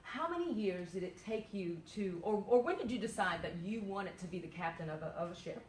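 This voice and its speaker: A woman's voice: medium pitch, lively intonation, fairly quick, a little mellow. A woman hosts the interview in an effusive, exclaiming manner. Her voice carries some room echo, with faint noise behind it. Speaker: host. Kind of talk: interview hosting.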